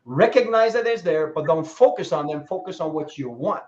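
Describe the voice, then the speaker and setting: A man's voice: fairly high pitch, normal speed, slight background noise. A man in his sixties telling motivational stories as a guest speaker. He talks with energy, laughs as he goes and acts out the people he quotes. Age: 60s. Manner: animated, laughing asides, acts out quoted voices. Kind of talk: motivational storytelling. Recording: dull lo-fi sound.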